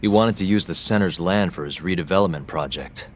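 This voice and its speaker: A man's voice: sits low, a little fast, slight background noise, lightly acted. A man playing a laid-back, wry detective hero in a video game cutscene, talking casually and tersely. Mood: matter-of-fact.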